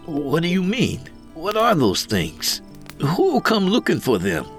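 A man's voice: on the high side, wildly swooping pitch, slow, soft background music, voice pushed up, heavily acted. A man playing a bewildered, agitated American greenhorn in a told story.

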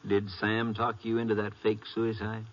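Actor as low-voiced, folksy American sheriff. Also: male voice, monotone, normal speed, close to an everyday voice. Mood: reasoning. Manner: matter-of-fact, folksy. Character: plain, matter-of-fact small-town Texas sheriff. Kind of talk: 1950s radio western acting. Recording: muffled, very noisy 1950s radio recording.

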